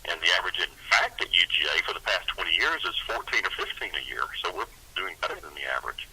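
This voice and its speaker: A man's voice: sits low, very animated, fast, pushed and bright, poor audio. A man giving an interview statement as a university official. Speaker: university public affairs official. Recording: clear background noise.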